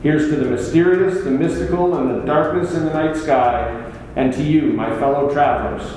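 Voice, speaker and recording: A man's voice: a little high, normal speed, darkish tone, close to an everyday voice. Echoey mysterious midnight radio host, acted by a man. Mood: solemn, mysterious. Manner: solemn, florid. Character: mysterious late-night paranormal radio host, theatrical. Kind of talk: late-night mystery radio sign-off. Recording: very echoey, hissy hall.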